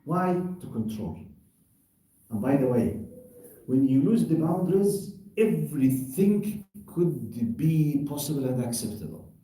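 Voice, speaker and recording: A man's voice: fairly high pitch, very animated, measured pace, warm tone. A man giving a religious lesson on Quran commentary, arguing through rhetorical questions and everyday examples. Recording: very echoey room, distant mic.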